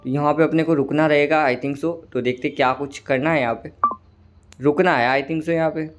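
Gaming host, male, somewhat high voice, a little hoarse, casual buddy-style play-by-play. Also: fairly even intonation, normal speed. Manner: chatty, keeps calling viewers "brother". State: slightly hoarse throat. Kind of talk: casual gameplay commentary.

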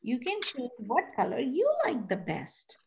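Lively high-voiced preschool teacher. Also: female voice, unhurried, creaky, slight background noise. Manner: animated, sings animal sounds, coaxing children. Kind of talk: online preschool class. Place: classroom-like room with posters, indoors. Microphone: video call.

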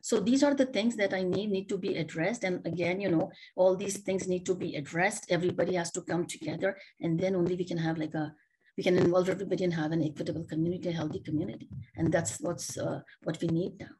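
A woman's voice: lowish voice, steady tone, fast talker, background noise. A middle-aged woman speaking earnestly as a panelist on a video call, asking many rhetorical questions and often restarting her sentences. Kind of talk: panel discussion remarks. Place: home office, indoors.

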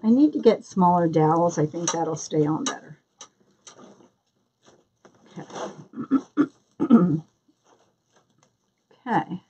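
A woman's voice: on the low side, slow, pauses often, mellow, husky, rough recording. A woman with a Philadelphia accent hosting a live craft tutorial, chatting and greeting viewers by name as she works. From Philadelphia area, US. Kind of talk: live-stream craft demonstration.

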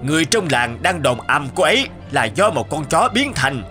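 A man's voice: fairly high pitch, very animated, normal speed, bright, forceful tone. A man playing a greedy, scheming old rich villager in a cartoon folktale, insinuating and wheedling. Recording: music behind the voice.

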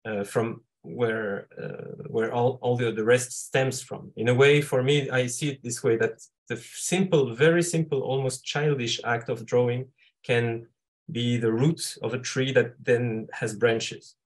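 Reflective man giving a halting slide talk. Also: mid-range voice, fairly even intonation. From Switzerland. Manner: reflective, halting, thinking aloud. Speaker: guest speaker, architect and scenographer. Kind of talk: illustrated lecture over slides. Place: indoors, online video call.

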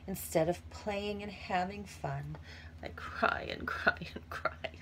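A woman's voice: medium pitch, takes it slowly. A middle-aged woman teacher reading a picture book aloud to young children, expressively, with chatty asides. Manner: expressive, acts out feelings with emphasis and whispers. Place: small cluttered room at home, indoors.

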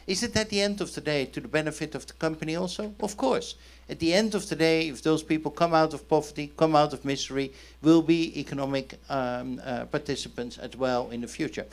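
A man in his fifties speaking emphatically and persuasively as an executive panelist, asking and answering his own questions. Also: a little high. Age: 50s. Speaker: panelist, company chief executive. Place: indoor conference event on a stage. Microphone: handheld microphone.

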